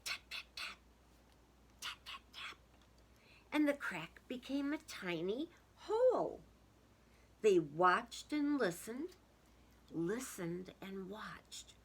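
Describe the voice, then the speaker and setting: A woman reading a picture book aloud to young children in an expressive, emphatic way, with explanatory asides. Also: mid-range voice, husky. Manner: deliberate, emphatic, dramatic word-by-word delivery.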